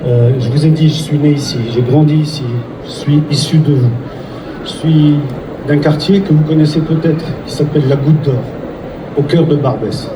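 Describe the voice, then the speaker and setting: A man moderating a public event and speaking sincerely and deliberately to the audience. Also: fairly high pitch. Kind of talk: public address. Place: event hall. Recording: echoey room, distant mic, loud noise.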